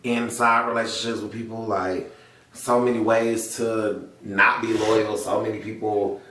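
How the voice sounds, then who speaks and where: A man's voice: lowish voice, level tone, unhurried, fairly bright tone, reverberant, distant mic, slight background noise, poor audio. A man co-hosting a casual podcast, laid-back and teasing, often answering in short replies. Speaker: podcast co-host.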